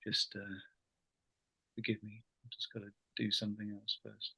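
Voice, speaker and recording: A man's voice: on the low side, monotone, normal speed, creaky, bright, forceful tone, breathy edge, rough recording. Middle-aged male workshop host, informal and hesitant in phrasing, restrained intonation. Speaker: workshop host, education trainer. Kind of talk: informal online workshop presentation. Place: video call.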